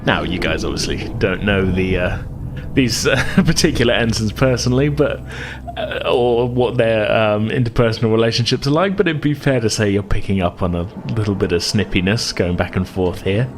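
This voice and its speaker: Low voiced guy game mastering, kinda rambly and improvised, noisy background. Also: expressive, normal speed. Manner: hesitant, rambling, explanatory. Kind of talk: tabletop game narration, improvised and conversational. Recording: clear background noise.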